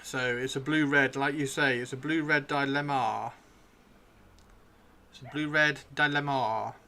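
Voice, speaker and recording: A man hosting a casual live stream, chatting excitably and repeating his phrases, with his voice starting to give out. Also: medium pitch, slow, gravelly. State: losing his voice. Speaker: livestream host. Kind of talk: casual live stream chat while painting miniatures.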